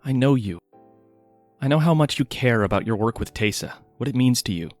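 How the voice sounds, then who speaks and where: A man's voice: mid-range voice, animated, brisk, no room sound, faint music behind, lightly acted. Man acting guarded, wry inventor mage, close and dry. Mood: guarded, earnest. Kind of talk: audio drama dialogue.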